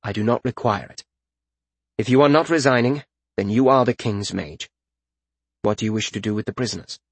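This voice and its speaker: A man's voice: lowish voice, fairly quick, breathy edge, dry recording. Man playing a cool, commanding young king. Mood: calm authority. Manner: calm, clipped, matter-of-fact. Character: cool, commanding male warrior king, unflappable.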